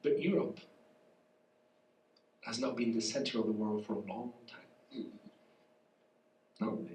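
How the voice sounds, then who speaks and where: A man with a Spanish accent, congested and soft-voiced, speaking haltingly as a guest professor in a public conversation. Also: medium pitch, a little hiss or hum. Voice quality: soft, weak voice. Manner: halting, searching for words, apologetic. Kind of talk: public conversation, reflective lecture talk. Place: café. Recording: echoey room from far off.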